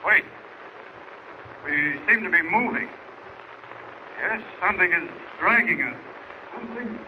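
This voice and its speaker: An actor playing a strained submarine officer in a 1940s radio serial, reporting tersely. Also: male voice, high voice, lively intonation, unhurried, pushed and bright, exaggerated voice. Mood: alarmed. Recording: faintly noisy vintage radio recording.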